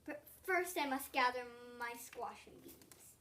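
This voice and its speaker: A child of about eight reads a storybook aloud, giving an expressive reading with emphatic, stretched words. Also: sits high, measured pace. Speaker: young reader of a story. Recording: distant from the microphone.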